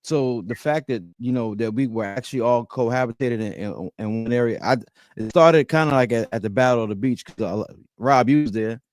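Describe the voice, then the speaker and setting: A man hosts a casual livestream chat, talking emphatically and doubling up his words. His voice sits at an ordinary male pitch and is recorded close and dry, with no room sound. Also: a little fast, dry recording. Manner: emphatic, repeats words when excited. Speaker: podcast host.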